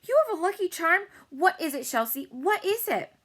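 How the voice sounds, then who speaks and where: A woman's voice: high voice, very animated, a little slow, bright, forceful tone, pitched above the performer's own voice, heavily acted. A woman voicing a spoiled, boastful rich little girl in a storybook, squealing and bragging. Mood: excited.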